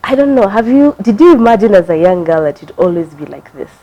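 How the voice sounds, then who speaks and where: A woman's voice: medium pitch, expressive, fairly quick, mellow, poor audio. A woman with a Kenyan accent hosting a talk show, asking questions in an encouraging, chatty manner. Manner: encouraging, chatty, frequent backchannel. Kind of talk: interview questions.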